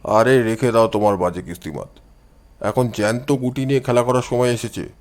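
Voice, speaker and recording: A man's voice: medium pitch, a little fast, darkish tone, voice pushed down, natural voice. A man voicing a cool, assured amateur detective who gives orders in an audio storybook. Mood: brisk, decisive.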